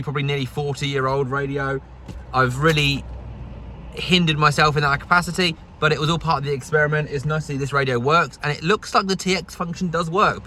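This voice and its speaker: Higher-pitched man vlogging about radio. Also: bright, forceful tone, slight background noise. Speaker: amateur radio vlog host. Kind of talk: casual vlog narration with radio calls. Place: outdoors and in a vehicle. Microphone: phone camera.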